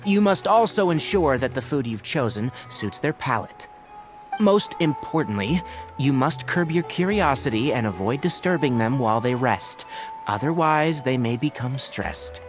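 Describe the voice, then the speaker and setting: A man voicing a gentle, scholarly young naturalist in an anime game, precise and dryly didactic. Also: mid-range voice, expressive, moderate pace, over music, natural voice. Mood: instructive.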